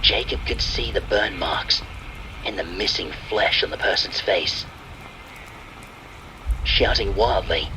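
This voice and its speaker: A man's voice: on the low side, background noise. A man reading a scripted horror story as narrator, with rain and thunder sounds behind him. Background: rain and thunder ambience.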